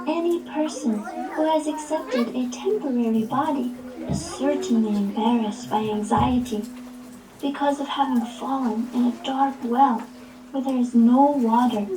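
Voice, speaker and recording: Woman playing high-voiced, devout boy prince. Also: close to an everyday voice. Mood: serene, respectful. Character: devout young boy prince, earnest and serene. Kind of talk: devotional puppet show acting. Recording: roomy, distant mic.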